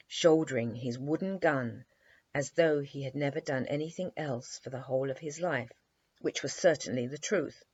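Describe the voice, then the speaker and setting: A woman reading a children's bedtime story aloud in an animated, measured storytelling manner. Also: sits low, husky, no echo. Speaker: storybook reader. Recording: dry, slightly dull lo-fi recording.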